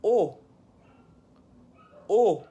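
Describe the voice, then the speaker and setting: Very slow-speaking teacher. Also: medium pitch, expressive, highs cut off, slight background noise. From Haiti. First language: Haitian Creole. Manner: spells out each letter twice, loud and drawn out; keeps checking in with viewers ("tá bom?"). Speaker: language teacher on own channel. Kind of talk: casual video lesson.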